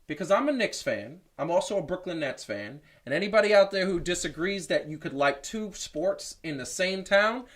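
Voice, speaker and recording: A man's voice: sits high, lively intonation, moderate pace, few pauses. A man hosting a live sports chat show in a hyped, rambling way. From born South Carolina, raised New York. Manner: hyped, rambling, self-interrupting. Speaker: host.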